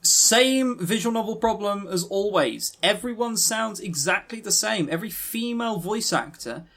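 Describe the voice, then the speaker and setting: A man's voice: high voice, expressive, moderate pace, gravelly, a bit bright. A man co-hosting a podcast, chatting casually and giving opinionated reviews with sarcastic, joking exasperation. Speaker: podcast co-host reviewing visual novels.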